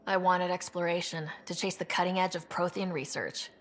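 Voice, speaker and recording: A woman playing a thoughtful, composed space expedition leader in a video game, speaking in a measured, conversational, gently probing way. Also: fairly low pitch, steady tone, close to an everyday voice. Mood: wistful. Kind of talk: video game cutscene dialogue.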